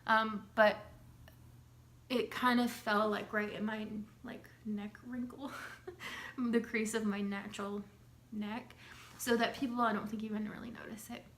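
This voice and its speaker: A woman's voice: mid-range voice, little pitch movement, measured pace, fairly bright tone. A young woman with a slight cold, chatting casually to camera in a loose, rambling way with many fillers. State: has a slight cold, clears her throat. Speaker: vlogger sharing her recovery. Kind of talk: casual talking-to-camera update. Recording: echoey room with faint hum.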